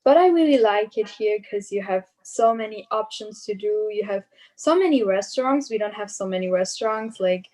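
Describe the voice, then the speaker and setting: A woman's voice: mid-range voice, lively intonation, moderate pace, hardly any pauses, warm tone. A tenth-grade girl with a German accent, an exchange student, chatting about life abroad in an interview. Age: teenager. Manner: chatty, many "like" fillers.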